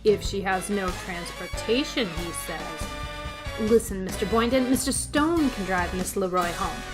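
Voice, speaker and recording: A woman's voice: medium pitch, lively intonation, a little slow, music in the background, lightly acted. A woman playing a wisecracking schoolteacher with a dry, sardonic manner in a 1950s-style radio comedy. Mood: wry. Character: wry, wisecracking female schoolteacher heroine.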